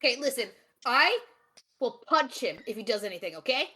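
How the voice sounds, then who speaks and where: Roleplay crew member: scrappy, excitable, slangy, higher-pitched and bright, played by a woman. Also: fairly quick. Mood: nervous, scheming. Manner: slangy, blurting. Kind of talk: casual improvised tabletop roleplay.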